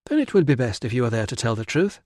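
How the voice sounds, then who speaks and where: A man's voice: medium pitch, sing-song, fast talker, darkish tone, no echo, clean studio sound, close to an everyday voice. A man voicing a firm, impatient married woman in an audiobook, curt and no-nonsense. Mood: determined. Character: firm, impatient married British tribeswoman, sceptical. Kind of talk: audiobook character voice.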